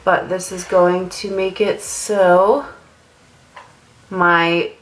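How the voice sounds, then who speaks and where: A woman's voice: fairly low pitch, level tone, very slow, slight background noise, poor audio. A young woman and makeup artist who sounds nasal from a cold, chatting casually at home as she does her makeup, full of "like" fillers. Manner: rambling and casual, lots of "like" fillers. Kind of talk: chatty get-ready-with-me talk while doing makeup.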